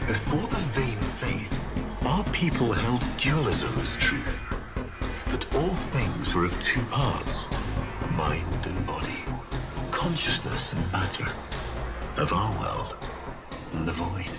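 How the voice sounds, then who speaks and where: An actor playing a cruel, pompous aristocratic villain in a video game, delivering breathy, deliberate theatrical monologues. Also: male voice, lowish voice, lively intonation, loud music behind the voice. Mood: solemn. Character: cruel, grandiose male aristocratic villain, coldly taunting.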